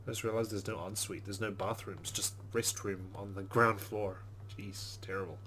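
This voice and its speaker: A man's voice: on the low side, level tone, moderate pace, a bit bright, breathy edge. A man hosting a let's play, giving casual game commentary and reading the game's text aloud.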